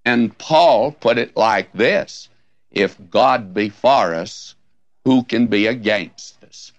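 Hoarse, gravelly older preacher, slow. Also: male voice, medium pitch, no room sound, dull audio. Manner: slow, folksy, emphatic, rising on key words. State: hoarse, scratchy voice. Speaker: radio Bible teacher. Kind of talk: verse-by-verse Bible teaching.

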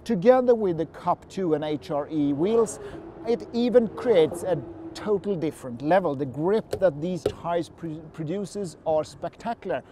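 Excited man talking while driving. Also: high voice, a little slow, noisy background. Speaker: car vlogger. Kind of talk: casual in-car commentary. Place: inside a sports car on a racetrack. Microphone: headset mic.

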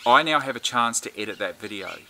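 A man's voice: medium pitch, lively intonation, brisk, pushed and bright, a little hiss or hum, rough recording. An Australian man in his fifties talking casually as a vlogger, outdoors in a backyard at about arm's length from the camera. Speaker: aviation vlogger. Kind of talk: casual vlog chat.

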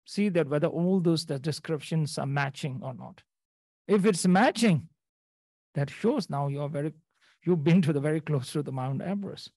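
Male dharma teacher from Nepal, unhurried, repeats himself, dry sound. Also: on the high side, mellow, dry recording. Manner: halting, repeats phrases, checks in with "okay?". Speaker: Buddhist teacher. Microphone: video call.

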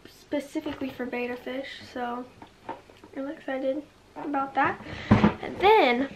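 A young woman with a high, raspy voice, filming herself with a handheld camera. She chats slowly and cheerfully to her viewers, while clear background noise runs under her voice. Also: low-quality recording. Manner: chatty, upbeat, talks to viewers. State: raspy voice from sore throat. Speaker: vlogger. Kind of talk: casual vlog chat. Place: car, bedroom, outdoors. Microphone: handheld camera at arm's length.

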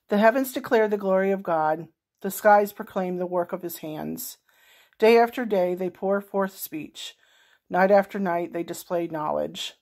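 A middle-aged woman with a slightly low voice reads scripture aloud for a worship gathering. She is indoors at home, speaking into a phone at arm's length. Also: a little mellow. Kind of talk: reading a psalm aloud. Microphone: phone held at arm's length.

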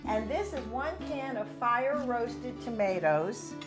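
A woman's voice: fairly high pitch, takes it slowly, music in the background. Older woman chef talking through a recipe. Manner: instructive, steady walkthrough of steps. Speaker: cooking segment chef. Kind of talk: cooking demonstration. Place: large home kitchen.

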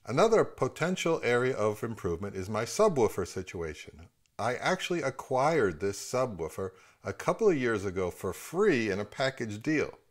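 A man's voice: mid-range voice, big pitch swings, normal speed. A man in his fifties giving scripted commentary on products as the host of a review channel.

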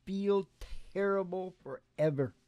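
Mellow middle-aged man, folksy rambling host. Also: high-pitched, clean tone, dry recording, muffled recording. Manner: playful, unhurried, joking, drifting off into asides. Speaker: host of a music and comedy show. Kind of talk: folksy rambling stage patter, storytelling. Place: small dim curtained stage room. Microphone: stand microphone. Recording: guitar playing behind the voice. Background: acoustic guitar.

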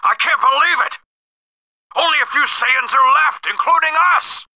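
An actor playing a rough, loud alien henchman reporting to his superior in a video game, with a raspy voice. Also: extremely high pitch, moderate pace, no echo, exaggerated voice, poor audio. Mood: hesitant, bewildered. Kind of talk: animated video game voice acting.

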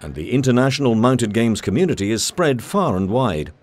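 A man's voice: mid-range voice, very animated, brisk, a little mellow. A man reading a formal promotional narration. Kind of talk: scripted narration.

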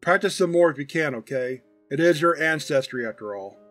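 A man's voice: a little high, animated, fairly quick, a bit bright, soft background music. A man voicing an affectionate, proud, teasing old grandfather in a fond, folksy, chatty manner for a story reading.

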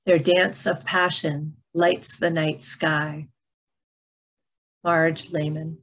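A woman's voice: deep voice, little pitch movement, drawn-out words, a bit bright. An older woman reading a poem aloud as a recitation. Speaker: poet reading own poem. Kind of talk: poetry recitation.

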